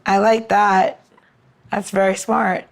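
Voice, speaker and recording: A woman's voice: mid-range voice, level tone, slow, gravelly. A woman co-hosting a podcast in casual chat, with an informal, sweary way of talking. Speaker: podcast co-host and cocktail expert.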